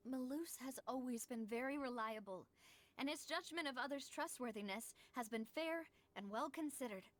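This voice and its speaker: An actress playing an earnest, thoughtful young woman in an animated game, deliberating as she speaks. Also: sits high, unhurried, slight background noise. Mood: troubled. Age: young adult. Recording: faint game music behind the voice.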